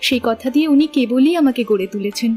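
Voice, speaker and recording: A woman's voice: fairly high pitch, quick pace, darkish tone, faint music behind, lightly acted. A woman playing a thoughtful, well-read young woman in love, speaking earnestly and eloquently in a literary audio drama. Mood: wistful, earnest.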